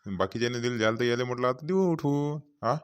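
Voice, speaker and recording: A man's voice: mid-range voice, lively intonation, fast talker, clean tone, close to an everyday voice. A man voicing a talkative village farmer with a rural Vidarbha Marathi accent in cartoon comedy dialogue, rambling and repetitive. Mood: businesslike, chatty. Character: talkative male village farmer chairing a local meeting.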